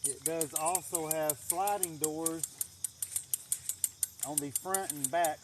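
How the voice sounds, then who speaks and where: A man's voice: a little high, slow pace, noisy background. A man chatting casually on an outdoor livestream as he gives a garden tour, with a folksy, rambling manner and some mild swearing. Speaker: livestream host showing his greenhouse garden.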